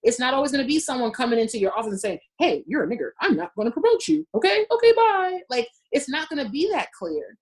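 A woman in her forties speaks with an emphatic, animated manner in a relaxed podcast conversation. Her voice sits a little high for a woman and she tells her points like stories. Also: fast talker, hardly any pauses. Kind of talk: casual panel discussion.

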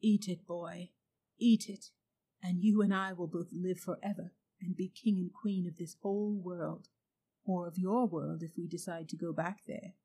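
Storybook witch, woman doing the voice, proud, cruel, coaxing, loud, dark, husky. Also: on the low side, steady tone, unhurried, low-quality recording. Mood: tempting.

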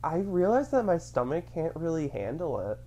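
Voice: mid-range voice, big pitch swings, normal speed, warm tone, highs cut off. A speaker with a mid-range voice, chatting casually with a friend. The talk rambles, packed with fillers, and the speaker acts out their own thoughts in little quotes. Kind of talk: casual chat, storytelling.

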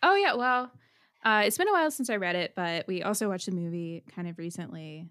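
A woman hosting a podcast in casual interview chat, with a quiet voice, chatty and quick to laugh. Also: on the low side, expressive. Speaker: podcast host.

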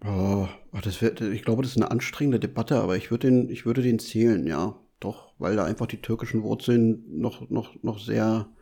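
A man's voice: on the low side, normal speed, creaky, hardly any pauses, mellow, husky. A man from northeastern Germany co-hosting a casual podcast, hesitant and repeating words as he starts sentences. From Mecklenburg-Vorpommern, Germany. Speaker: podcast co-host. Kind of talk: casual chat.

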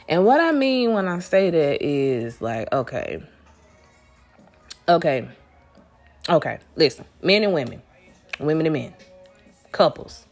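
A woman hosting a casual solo podcast, talking bluntly with lots of swearing, restarts and a stuffy, congested nose. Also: fairly low pitch. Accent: Southern US. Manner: blunt, profane, rambling with restarts. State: congested from allergies. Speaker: podcast host.

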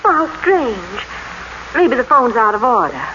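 Genteel wife for vintage radio drama: solicitous, evasive, hesitant, on a dull old recording. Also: female voice, a little high, very animated, a little slow, pushed and bright, exaggerated voice. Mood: nervous, evasive. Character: businessman's wife, sweetly attentive, nervously evasive. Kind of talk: 1940s radio drama acting. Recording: muffled old radio recording, faint noise.

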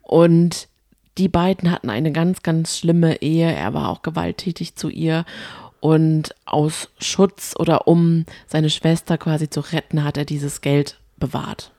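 A woman's voice: deep voice, unhurried, vocal fry, dark tone, dry recording, studio quality. A woman voicing three relieved, earnest teenage boy detectives while retelling a story.

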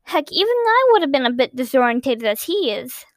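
A gentle, innocent young boy with a sweet, reassuring way of talking, as a woman reads him aloud. His voice sits high and bright, close and dry as if spoken right into the mic. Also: very animated, a little fast, no echo.

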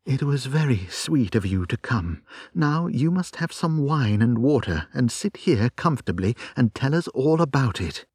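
Man playing a calm Victorian wife in a storybook reading, slow and gentle, with a husky voice. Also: fairly high pitch, no room sound, studio quality, voice pushed up, exaggerated voice. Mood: soothing. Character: kindly composed Victorian wife, gently soothing.

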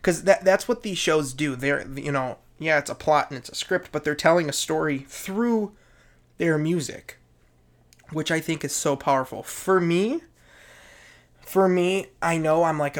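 A man's voice: a little high, moderate pace. A man co-hosting a casual podcast, chatting eagerly and repeating words for emphasis. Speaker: podcast co-host. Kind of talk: casual chat.

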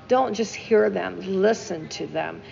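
A woman's voice: medium pitch, unhurried, creaky, darkish tone, big-room sound, dull audio. A woman co-hosting a casual talk show, discussing music emphatically and repeating phrases for stress. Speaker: podcast co-host. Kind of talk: casual discussion of song lyrics.

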